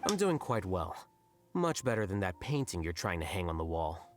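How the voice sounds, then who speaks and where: An actor playing an aloof, blunt young male scholar in a video game, deadpan and precise. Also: low voice, moderate pace, soft background music. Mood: dry, cutting. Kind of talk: scripted video game dialogue.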